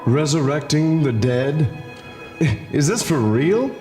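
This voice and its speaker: A scoffing skeptic. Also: male voice, on the high side, animated, takes it slowly, darkish tone, echoey room, soft background music. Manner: scoffing, sarcastic. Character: skeptical, mocking young man.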